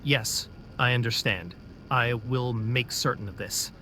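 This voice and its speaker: A man's voice: mid-range voice, a little slow, pushed and bright, slight background noise. A man playing an anxious, doubting captain. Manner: anxious, hesitant objections. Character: doubtful young male captain.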